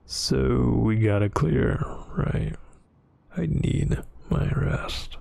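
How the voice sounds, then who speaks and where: A man playing a brooding, dominant vampire boyfriend in an ASMR roleplay, scolding haltingly in a husky, breathy near-whisper. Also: mid-range voice, darkish tone, no room sound, exaggerated voice. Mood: irritated, warning.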